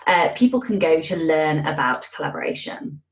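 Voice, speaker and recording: A woman's voice: deep voice, expressive, normal speed. A woman interviewing a guest conversationally for a podcast, recorded in an echoey room. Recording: echoey, roomy sound.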